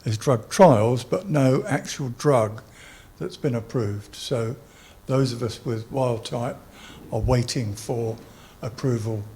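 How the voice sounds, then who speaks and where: A man's voice: medium pitch, slow, warm tone. A man speaking as a patient on a panel. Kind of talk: panel discussion remarks. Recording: faint background noise.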